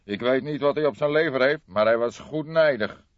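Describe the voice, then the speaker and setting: Casting: male narrator playing anxious police adjutant, pitch raised well up, urgent delivery, dry recording. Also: moderate pace, no echo, highs cut off, close to an everyday voice. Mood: worried, urgent. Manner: concerned, urgent. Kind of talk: audiobook character dialogue.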